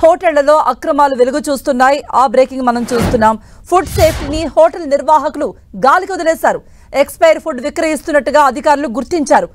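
A woman's voice: sits high, fast talker. A woman presenting scripted breaking news as a studio anchor.

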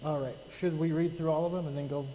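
A man in his thirties on stage at church, answering in brief, relaxed replies with dry humor. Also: a little high, quick pace. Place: large church sanctuary. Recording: long church hall reverb with faint noise.

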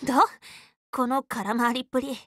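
A woman's voice: a little high, fairly even intonation, normal speed, fairly bright tone, no echo, clean studio sound, lightly acted. A woman playing an upbeat, loyal, tomboyish teenage magical girl in an anime game, speaking in a casual, frank, boyish way. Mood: wry. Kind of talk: anime game character voice lines.

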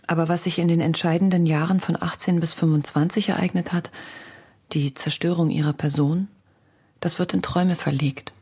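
A woman reading literary prose in a husky, breathy voice, restrained and sober. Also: lowish voice, steady tone, moderate pace, darkish tone.

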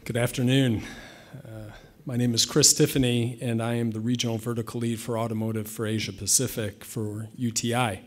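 A man's voice: medium pitch, little pitch movement, normal speed. Man presenting on a conference stage. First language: English. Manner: conversational, light humor, frequent fillers. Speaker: conference speaker. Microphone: podium mic.

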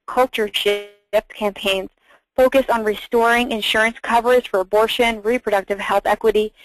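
A woman's voice: fairly high pitch, no echo, rough recording. A woman moderating a webinar, reading housekeeping notes and speaker introductions in a careful, scripted way with small restarts.

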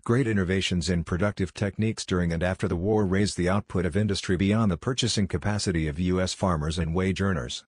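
A man giving a sample read-aloud answer for a language exam, reading in a brisk, even way. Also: sits low, husky, dry recording, clean studio sound.

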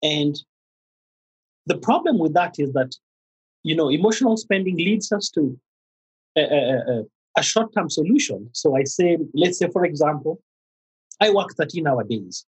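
A middle-aged man with a Kenyan accent, a therapist speaking as a podcast guest, pausing often with fillers and restarts. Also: a little high, big pitch swings, slightly rough, low-quality recording. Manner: halting, many fillers and restarts. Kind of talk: conversational interview.

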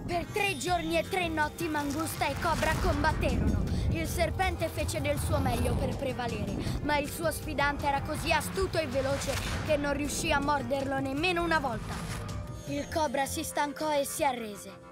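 A woman's voice: high voice, lightly acted. A woman voicing a young cartoon animal cub who tells an old jungle legend like a storyteller. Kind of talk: scripted storytelling in a children's cartoon. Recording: music behind.

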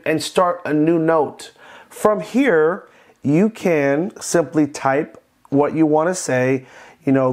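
A man's voice: on the high side, darkish tone. A man hosting a step-by-step tech walkthrough for beginners, explaining simply and reassuringly. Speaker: tech tutorial host.

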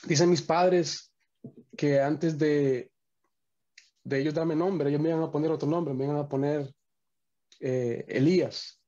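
A man's voice: on the high side, fairly even intonation, unhurried, long pauses, dark tone, highs cut off. A young man from the Dominican Republic, a worship musician, talking earnestly over a video call and often restarting his sentences. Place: small home room.

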